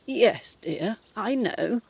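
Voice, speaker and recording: A woman's voice: medium pitch, lively intonation, drawn-out words, creaky, darkish tone, breathy edge, full character voice. A woman playing the ghost of a formidable, fussy elderly British mother in an audio play, haughty and scolding with proper diction. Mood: breezy, haughty.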